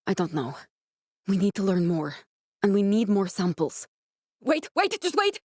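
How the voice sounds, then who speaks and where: An actress playing a guarded, driven scientist in a video game, speaking in a measured, clinical way. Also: female voice, medium pitch, no room sound, studio quality, natural voice. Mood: urgent. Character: guarded, driven woman scientist guiding a survivor, dry and earnest. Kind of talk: video game character voice acting.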